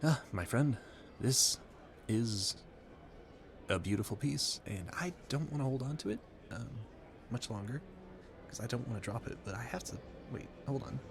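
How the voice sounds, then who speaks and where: A man playing a polite, flustered gentleman at a Gilded Age ball in an audio roleplay, effusive and rambling, stammering through formal talk. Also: medium pitch, lively intonation, normal speed, vocal fry, background noise. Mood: awestruck. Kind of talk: audio roleplay addressed to the listener.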